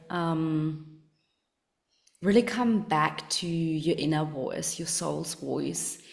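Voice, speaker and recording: Woman guest, earnest and enthusiastic, low-pitched, recorded in an echoey room. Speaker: podcast guest. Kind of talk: casual interview chat.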